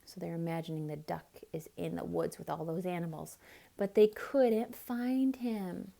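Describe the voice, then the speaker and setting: A woman reading a picture book aloud to young children as a dramatic, expressive storyteller, with explanatory asides. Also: lowish voice, normal speed, gravelly.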